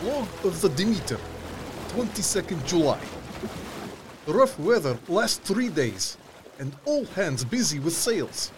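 A man playing a troubled sea captain who reads out his ship's log in terse, deliberate phrases. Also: sits high, big pitch swings, background noise. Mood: steady, relieved. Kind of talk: dramatic, clipped ship's log narration. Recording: water and boat ambience behind voice.

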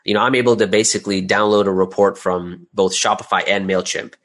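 A man with a fairly low voice talks as a guest in an interview. He explains things in a casual, conversational way. He often doubles back on words and pauses to find the next phrase. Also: steady tone, a bit bright. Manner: informal, hesitant, often repeating words mid-thought. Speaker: interview guest, audio drama creator and business owner.

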